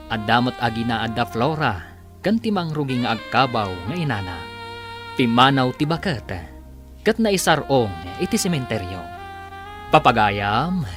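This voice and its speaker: A radio drama narrator reads credits and recaps in a theatrical scripted style. The pitch swings widely over clear background music. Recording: music bed under the voice. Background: loud theme music.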